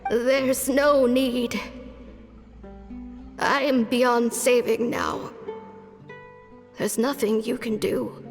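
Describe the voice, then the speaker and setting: A woman voicing a solemn, resolute dying doctor and mentor in a video game, speaking formally and gravely with long reverb. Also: on the high side, fairly even intonation, extremely slow, long pauses, soft background music, exaggerated voice. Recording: long reverberant hall effect.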